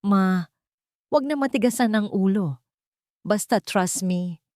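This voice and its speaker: A woman's voice: medium pitch, slow pace, darkish tone, no room sound, clean studio sound, pitched above the performer's own voice, lightly acted. A woman voicing a curt, impatient, scheming adult son in a narrated story. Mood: irritated.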